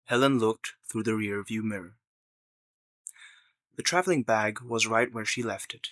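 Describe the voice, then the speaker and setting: A man's voice: on the low side, steady tone, unhurried, a bit bright, no echo. A man narrating a scripted story in the third person as the narrator of an audio drama.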